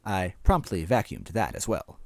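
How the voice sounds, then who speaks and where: A man's voice: low voice, big pitch swings, normal speed, vocal fry, no room sound. A man narrating a first-person story expressively as a reader.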